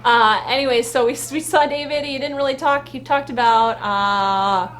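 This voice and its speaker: A woman's voice: a little high, normal speed, a bit bright. A woman co-hosting a fan vlog, chatting casually in a rambling, exclamatory way. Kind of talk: casual live chat recap.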